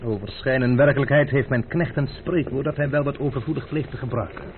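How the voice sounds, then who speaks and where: A man playing a stubborn, teasing gentleman adventurer in a radio play, speaking with mock courtesy and unhurried insolence. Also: medium pitch, lightly acted. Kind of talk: old radio drama acting. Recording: tinny, band-limited old radio recording with hiss.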